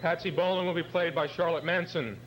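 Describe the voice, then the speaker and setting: A man's voice: fairly high pitch, steady tone, fairly quick, muffled recording. A man introducing a cast at a live stage event, speaking as the presenter. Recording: very noisy live event recording.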